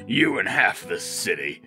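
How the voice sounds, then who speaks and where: Grumpy half deaf old inventor man, really gravelly n piercing, audio drama. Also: on the low side, heavily acted. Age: older. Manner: shouting, blunt. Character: gruff, hard-of-hearing old tinkerer man.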